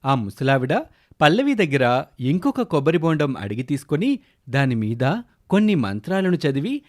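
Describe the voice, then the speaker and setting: A male narrator tells a scripted children's story. His voice is on the high side and moves with animated, swinging intonation. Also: moderate pace.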